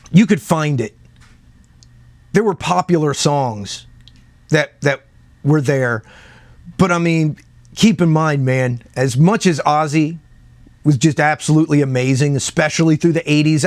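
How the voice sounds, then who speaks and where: A man with a fairly high-set voice talks like a video host sharing his views on music. His delivery is informal and conversational, with an emphatic, opinionated streak. The sound is very dry and close, with hardly any room in it. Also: no room sound. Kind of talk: casual opinion talk to camera.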